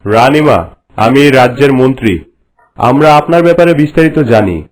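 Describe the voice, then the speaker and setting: A man's voice: mid-range voice, darkish tone, lightly acted, poor audio. A man plays a dutiful minister in a cartoon kingdom. He speaks in a mid-range voice, formal and deferential, as a servant of the crown. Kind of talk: cartoon voice acting.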